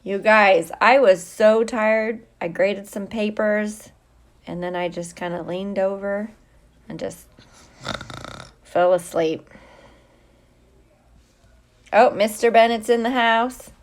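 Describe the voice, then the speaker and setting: A woman's voice: medium pitch, slow pace, pauses often. A middle-aged woman, a teacher, chatting casually and playfully with young viewers on a livestream, drawing out her greetings. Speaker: teacher hosting a livestream for students.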